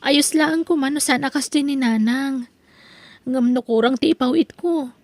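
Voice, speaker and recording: A woman's voice: sits high, moderate pace, no echo. A woman with an Ilocano accent playing a tired young woman working in the city for her family. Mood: weary. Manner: patient, apologetic.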